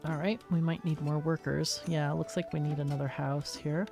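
Woman, low-pitched, casual game commentary, explanatory, thinking aloud, soft background music. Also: fairly even intonation, normal speed, vocal fry. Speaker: gaming video host.

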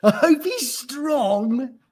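A man voicing swooning female gorillas in a storybook, with drawn-out admiring delivery. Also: very high voice, animated, darkish tone, voice pushed up, full character voice.

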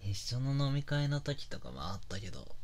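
A man playing a tipsy, affectionate older boyfriend in an intimate ASMR audio drama, sweet and clingy in soft, lingering murmurs. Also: medium pitch, big pitch swings, a little fast, lightly acted. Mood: shy, sweet. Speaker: online voice actor.

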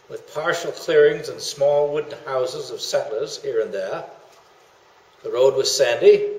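An older man voicing a formal 19th-century British army officer in a measured dramatic memoir reading. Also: mid-range voice, close to an everyday voice. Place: indoor meeting room. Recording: echoey room.

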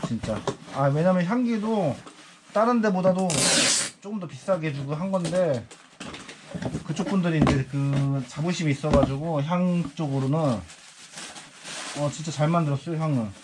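A man's voice: a little high, normal speed, pauses often, heavy background noise. A man in his forties chatting casually while packing parcels for his small online shop, musing aloud and sighing now and then. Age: 40s. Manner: rambling, musing aloud, lots of sighing asides. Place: indoor work space packing parcels.

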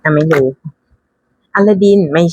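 A woman's voice: a little slow, muffled recording. A woman giving casual, chatty unboxing commentary, thinking aloud with frequent exclamations and dropping English card names into her talk. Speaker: tarot deck reviewer.

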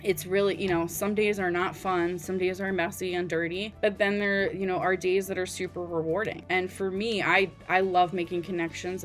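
A woman in her thirties speaks in a fairly low voice over a video call from her room at home. She is a guest in an interview and talks in an earnest, chatty way, full of fillers. Faint music sits underneath. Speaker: laundromat owner guest. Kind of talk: casual interview talk. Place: home room at night, indoors.

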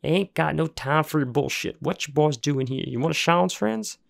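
A man voicing a gruff, toothless junkyard worker with a gravelly voice and rough, ungrammatical speech. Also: on the high side, moderate pace, pitched above the performer's own voice.

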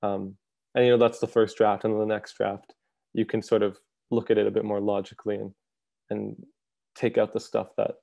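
A young man from Canada hosting a literary journal's interview over a video call, asking questions in a friendly, relaxed way full of fillers. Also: fairly low pitch, normal speed, creaky. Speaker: host, co-editor of a literary journal. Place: home room. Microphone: earphone mic on video call.

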